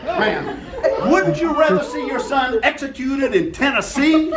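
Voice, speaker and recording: A man doing a theatrical southern prosecutor with a high, swooping voice. He speaks slowly and drawls with false sympathy. The echoey room has loud background noise. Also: expressive, over a noisy crowd. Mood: oily. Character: smooth-talking southern male prosecutor. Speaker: prosecuting lawyer in a play reading. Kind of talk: amateur play reading.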